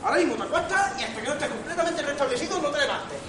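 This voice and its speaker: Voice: sits high, lively intonation, racing, crowd noise. A performer playing a fussy, overbearing older uncle in an amateur comic radio play, scolding anxiously and insistently. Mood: worried scolding. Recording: roomy distant stage recording, noisy audience.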